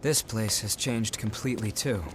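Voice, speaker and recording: A man playing a calm, earnest, compassionate young leader in a video game, speaking in a measured, gentle, serious way. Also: lowish voice, noisy background, natural voice. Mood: focused, serious. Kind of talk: English video game voice acting.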